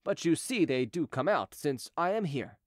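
A man voicing a courteous, persuasive gentleman fugitive who talks evasively, as in an audiobook. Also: fairly high pitch, big pitch swings, normal speed, no echo, studio quality. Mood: brisk, polite. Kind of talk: audiobook character dialogue.

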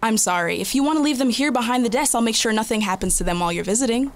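Actress as polite firm hospital desk lady, slightly high voice. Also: lively intonation, very fast. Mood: apologetic, firm.

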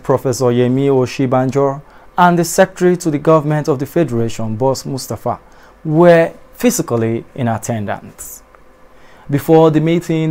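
A young adult man reading a television news bulletin in a studio, formal and measured, his voice dropping at the ends of sentences. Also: mid-range voice, a little mellow. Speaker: newscaster. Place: TV studio in front of a backdrop.

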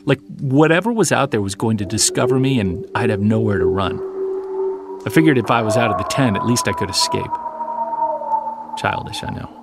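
An actor playing a grown man haunted by a childhood memory, recounting it haltingly in an audio drama monologue. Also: on the low side, lively intonation. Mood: tense, haunted. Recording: studio voice over a music bed.

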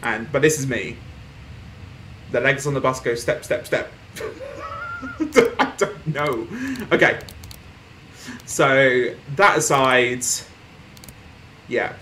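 A young man casually commenting on a desktop game as he plays, reading posts aloud and laughing softly, recorded at home with faint background noise. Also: mid-range voice, slow pace, pauses often. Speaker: gaming streamer. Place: small room at home, indoors.

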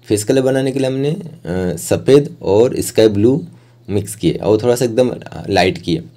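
A man's voice: mid-range voice, normal speed, mellow. A man giving an informal, plain step-by-step art tutorial as a voice-over instructor.

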